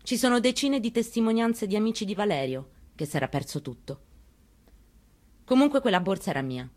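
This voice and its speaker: A woman's voice: medium pitch, lively intonation, brisk, a little mellow. A woman giving a dramatic first-person reading of a memoir, with an intimate storytelling delivery. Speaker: reader.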